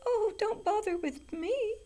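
A woman voicing a timid young male billy goat in a storybook, with a tiny, squeaky bleat. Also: measured pace, warm tone. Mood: timid, pleading.

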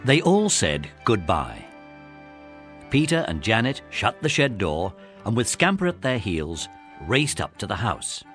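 A man's voice: on the low side, very animated, a little slow, fairly bright tone, soft background music. A man narrating a children's adventure story in a scripted storybook style. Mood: storytelling.

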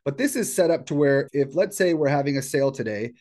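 A man walks through software in a casual screen-share style. He explains things step by step in an informal way, with a mid-pitched voice. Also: lively intonation, fast talker. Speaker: software tutorial presenter. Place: indoors at a computer.

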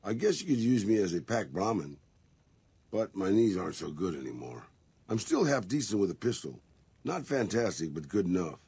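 Casting: an old, weary Mexican gunslinger ghoul for a game, very hoarse, with lively, wry swings in pitch. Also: male voice, moderate pace, dry recording, exaggerated voice. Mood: self-deprecating. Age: older. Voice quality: gravelly, raspy. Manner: dry, sardonic, resigned. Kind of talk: video game companion dialogue.

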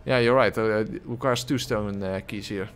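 A man's voice: lowish voice, fairly even intonation, moderate pace, faint music behind. A man streaming a game and chatting with viewers, talking close to a desk microphone, rambling with many filler sounds and little chuckles. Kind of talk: casual live gameplay commentary, reading chat. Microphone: desk microphone close to the face.

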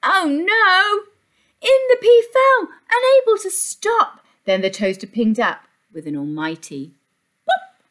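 A woman's voice: extremely high pitch, sing-song, takes it slowly, a bit bright. A woman reads a picture book to young children with sing-song emphasis, like an infant teacher. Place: small indoor classroom.

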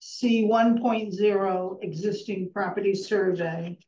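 A middle-aged woman chairing a town zoning board, reading out motions slowly and carefully in a formal tone. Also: mid-range voice, fairly even intonation, mellow. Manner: measured, reads legal text slowly and carefully. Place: town hall meeting room. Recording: distant, dull echoey public-access meeting audio.

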